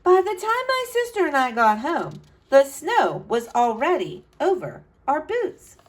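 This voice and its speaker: Woman reading as an earnest young adventurer, dramatic and unhurried. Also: high-pitched, huge pitch swings, voice pushed up, full character voice. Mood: wonder, effort. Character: earnest, adventurous young boy narrating his snowstorm expedition.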